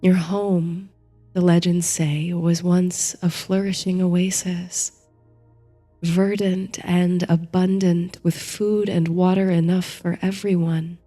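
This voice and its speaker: A woman narrating a guided bedtime meditation in a soothing, gentle, hushed manner, reading from a script. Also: lowish voice, level tone, slow pace. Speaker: host and narrator of a sleep story series. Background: soft music.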